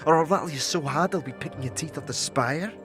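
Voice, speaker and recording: An actor playing a wisecracking floating skull companion who talks in streetwise slang. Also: male voice, fairly high pitch, fast talker, fairly bright tone, faint music behind, lightly acted. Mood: indignant. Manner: gruff, jealous, streetwise slang.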